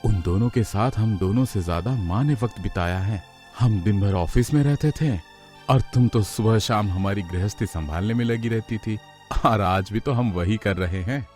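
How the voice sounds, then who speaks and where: A man playing a practical middle-aged father in a moral story, speaking in a measured, firm way. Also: fairly low pitch, a little mellow, faint music behind, natural voice. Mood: calm, concerned.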